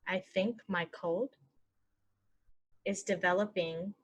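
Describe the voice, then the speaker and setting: A woman teaching English phrases, saying each one and then repeating it slowly and clearly for learners. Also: fairly low pitch, fairly even intonation. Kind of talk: slow phrase-by-phrase language lesson.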